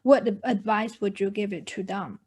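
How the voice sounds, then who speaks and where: A woman's voice: medium pitch, steady tone, normal speed, darkish tone, husky. A woman, hosting an interview over a video call and speaking earnestly with many fillers and restarts. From China. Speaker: host. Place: home room with pictures on wall. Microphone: video call, earphone mic.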